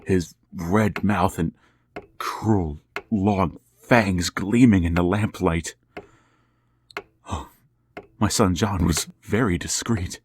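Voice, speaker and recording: A man's voice: sits low, a little hiss or hum. An actor playing a bereaved father who narrates his horror and pleads in anguish in a radio drama. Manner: grave storytelling, breaking into anguish. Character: grieving older father narrating, haunted, tormented. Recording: radio play with faint violin music.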